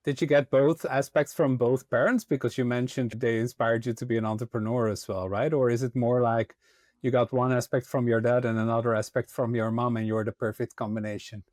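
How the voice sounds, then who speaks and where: A man's voice: medium pitch, steady tone, fairly quick, a little mellow, no room sound, clean studio sound. A man hosting a podcast interview, asking friendly follow-up questions and affirming his guest's answers. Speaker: podcast host.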